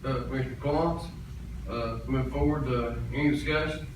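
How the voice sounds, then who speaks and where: A man's voice: mid-range voice, fairly even intonation, moderate pace. A man chairing a public meeting in formal procedural style. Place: indoor public meeting room. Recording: very echoey room, distant mic, noisy.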